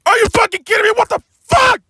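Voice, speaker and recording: A man's voice: high voice, huge pitch swings, normal speed, sharp tone, dry recording, clean studio sound, voice pushed up, full character voice. A man doing a shrieking, swearing steam engine. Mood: furious. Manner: screaming, swearing outbursts. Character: hot-tempered male steam engine, loud and profane. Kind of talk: comic parody voice acting.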